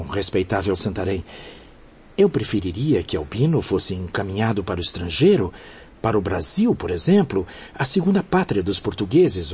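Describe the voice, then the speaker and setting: A very deep, raspy voice for a melancholy gentleman spirit in a radio drama. He pleads with courtesy, in plaintive, swelling intonation. The sound is dull and lo-fi. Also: exaggerated voice. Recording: muffled lo-fi radio drama recording.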